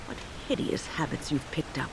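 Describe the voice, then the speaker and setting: An actress playing a prim, fastidious older female mage with a disapproving, scolding manner in a video game. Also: noisy background, close to an everyday voice. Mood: scolding disbelief. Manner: scolding, fussy. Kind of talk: video game dialogue acting.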